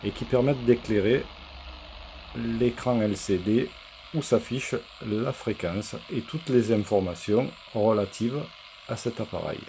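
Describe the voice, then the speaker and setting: A man presenting an unscripted workshop demonstration as a repair technician, explaining things in a halting, hesitant way. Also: on the low side, warm tone, slight background noise, poor audio. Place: indoor electronics workshop.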